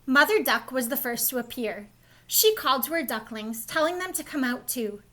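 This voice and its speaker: A woman reads a picture book aloud for young children. Her voice is high and bright, and she tells the story expressively, taking care that small listeners follow along. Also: sing-song, normal speed. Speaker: storybook reader, school staff.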